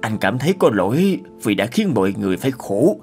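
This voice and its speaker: A man's voice: lowish voice, very animated, quick pace, over music. An actor playing an earnest, anxious middle-aged male inventor in a cartoon, speaking expressively. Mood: troubled, apologetic. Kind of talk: animated moral-tale cartoon dubbing.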